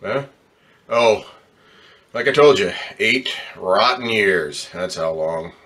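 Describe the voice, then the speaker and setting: An actor playing a gruff, cynical, impatient ambulance driver in an old-time radio drama, with brusque streetwise talk. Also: male voice, fairly low pitch, animated, slow, bright, forceful tone, full character voice. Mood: weary, cynical.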